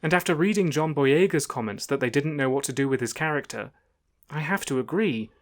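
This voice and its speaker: A man hosting a scripted video essay, narrating in a measured, analytical and argumentative way. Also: fairly high pitch, lively intonation.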